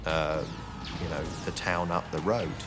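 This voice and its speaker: A man's voice: deep voice, fairly even intonation, unhurried, over music. A British man giving colloquial, explanatory interview commentary. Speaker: documentary commentator. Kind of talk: conversational interview.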